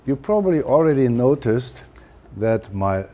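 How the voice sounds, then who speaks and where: A man with a German accent, a native German speaker giving a university lecture in a careful, halting way with frequent fillers. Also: medium pitch, very animated, dark tone. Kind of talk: academic lecture.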